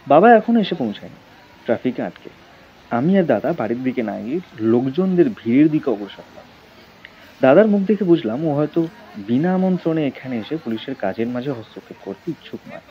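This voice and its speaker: A man's voice: medium pitch, very animated, a little fast, highs cut off, a little hiss or hum. A man narrating a scripted audio story in a dramatic storytelling style.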